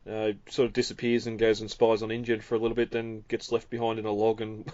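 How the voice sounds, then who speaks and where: A man's voice: on the low side, fast talker, dry recording, dull audio. A man hosting a podcast, chatting casually with fillers and chuckles, then reading a recap. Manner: relaxed, rambling, with frequent fillers and chuckles. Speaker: podcast host. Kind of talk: casual chat and scripted recap.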